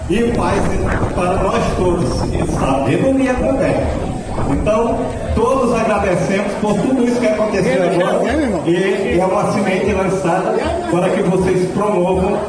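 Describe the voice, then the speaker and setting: A bumba meu boi master giving a slow, solemn thank-you speech to an audience. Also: male voice, sits high, heavy background noise. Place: cultural center hall. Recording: echoey hall, distant mic, crowd.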